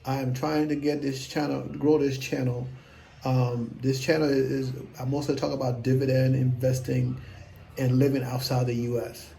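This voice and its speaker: A man's voice: mid-range voice, flat delivery, moderate pace, vocal fry, dark tone, slight background noise. A man in his fifties, talking casually to camera as an investing vlogger, blunt, self-assured and rambling. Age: 50s.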